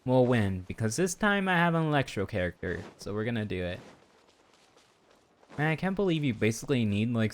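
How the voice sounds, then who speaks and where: A man doing casual live game commentary as a streamer, muttering and exclaiming to himself as he plays. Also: fairly low pitch. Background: game music.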